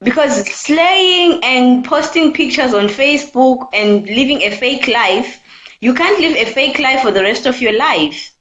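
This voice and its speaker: Zimbabwean woman, twenties, earnest caller, slightly high pitch, echoey. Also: expressive, unhurried, a bit bright. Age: 20s. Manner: earnest, repeats her points. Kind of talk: call-in opinion chat.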